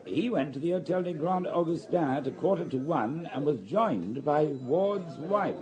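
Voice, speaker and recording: A man's voice: on the high side, animated, normal speed, lightly acted. A man playing a loyal, matter-of-fact police subordinate giving methodical reports in a radio drama, with voices in the background. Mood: businesslike.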